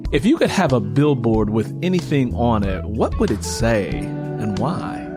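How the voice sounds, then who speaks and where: A man's voice: mid-range voice, very animated, a little slow, over music. A man hosting a casual interview, affirming his guest and repeating points for emphasis. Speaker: interview host.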